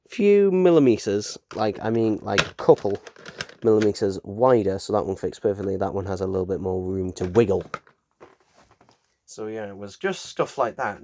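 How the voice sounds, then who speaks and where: A British man with a croaky voice from hay fever, chatting casually as he unboxes and rambles with lots of fillers and restarts. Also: fairly low pitch, mellow, a little hiss or hum. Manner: rambling, filler-heavy, self-correcting. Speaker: unboxing vlogger. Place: room at home with window open.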